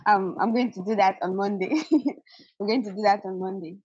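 A woman on a video call about starting a baking show, hesitant and nervous, stammering and laughing softly. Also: medium pitch, level tone, brisk, breathy edge, dry recording. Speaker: caller, aspiring baker. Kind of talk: coaching call. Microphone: video call, audio only. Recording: dull lo-fi call audio.

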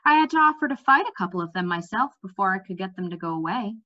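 Outspoken storybook princess, read by a woman, practical, emphatic, bright, slightly dull sound. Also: medium pitch, animated, quick pace. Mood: matter-of-fact. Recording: slightly dull webcam audio.

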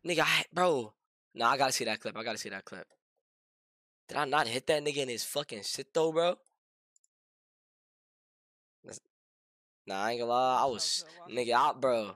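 A man's voice: mid-range voice, moderate pace, long pauses, sharp tone, dry recording, clean studio sound. A man chatting and reacting during a game in casual slang, emphatic and often repeating himself.

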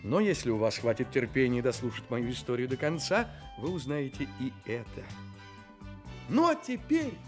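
An actor playing a courteous, mysterious storyteller in a children's radio play, theatrically addressing his listeners. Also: a little high. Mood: excited, announcing. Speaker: narrator, storyteller host. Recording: studio radio play with music.

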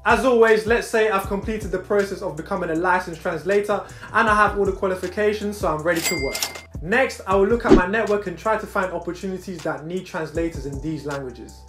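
A man's voice: sits high, a little fast. A young man from Brazil hosting a show, presenting a script to camera in an upbeat, punchy way from a small indoor room. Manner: upbeat, punchy list delivery. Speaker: host. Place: small indoor room against plain wall. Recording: echoey room, light music behind.

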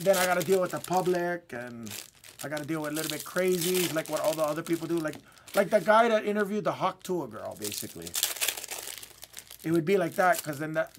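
A man's voice: fairly high pitch, background noise. A man with a Hawaiian accent chatting casually as a livestream host. He rambles, repeats his words and laughs often. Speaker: livestream host of card breaks.

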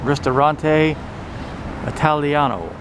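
A man's voice: a little high, slow. A man giving a casual, wry walking-tour commentary, reading signs aloud in an offhand way. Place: outdoors on a town shopping street. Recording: noisy outdoor street recording, traffic ambience. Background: loud street and car noise.

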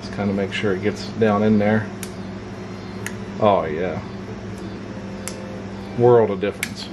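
A man with a fairly low voice talks through a pocket knife in a relaxed, rambling, folksy way, filling his pauses with "uh" and "you know." He is at a workbench at home, recorded on the camera mic from a little off-frame. A steady hum of room noise runs under his voice. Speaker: knife reviewer. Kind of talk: casual hands-on product review. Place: home workshop desk, indoors.

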